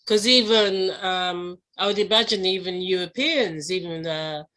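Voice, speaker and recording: A woman's voice: lowish voice, a little slow, sharp tone. A woman interviewer speaking in a conversational style, putting questions and comments to a guest.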